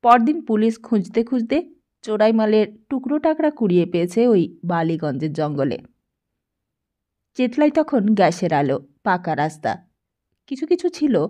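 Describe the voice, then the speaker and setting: A woman's voice: medium pitch, very animated, a little slow, pauses often, mellow. Woman narrating an audiobook story.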